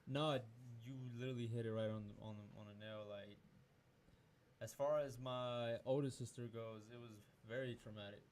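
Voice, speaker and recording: A man's voice: mid-range voice, steady tone, moderate pace, darkish tone, a little hiss or hum. A man in his twenties from Peru, hosting a casual podcast chat in a loose, profane, rambling way with many restarts. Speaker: podcast host.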